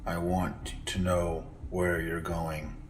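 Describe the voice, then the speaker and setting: A man voicing a grim, impassive male alien leader in an audiobook, speaking slowly and heavily in short, clipped lines. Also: low-pitched, completely flat delivery, a little hiss or hum, voice pushed down. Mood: cold. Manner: slow, heavy, clipped and impassive. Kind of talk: audiobook character voice.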